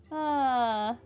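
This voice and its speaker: Female let's-play streamer: fairly high voice, offhand and wry, reasons aloud over faint game music. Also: a little mellow, clear, resonant tone. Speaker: gaming streamer. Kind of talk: casual playthrough commentary.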